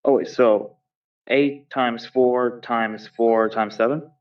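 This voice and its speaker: Curious friend on the call, muffled and far from the mic. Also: male voice, mid-range voice, fairly even intonation, slow. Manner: curious, asks lots of questions, repeats himself. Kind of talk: casual chat.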